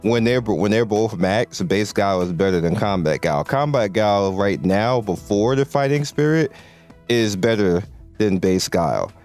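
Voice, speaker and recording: A man's voice: lowish voice, animated, moderate pace, a little mellow, soft background music. A man losing his voice, giving laid-back, slangy game commentary with lots of restarts. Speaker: mobile game content creator.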